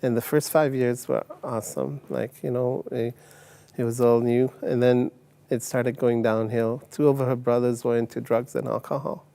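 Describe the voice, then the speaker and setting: A man in his sixties from Uganda and later England, telling his personal story as an interview guest in a halting way, often repeating words. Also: medium pitch, level tone, vocal fry, warm tone. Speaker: testimony guest.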